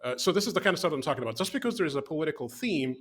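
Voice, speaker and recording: Man ranting in a casual video chat. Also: rapid-fire. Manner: animated, acts out quotes in a higher voice. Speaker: podcast co-host.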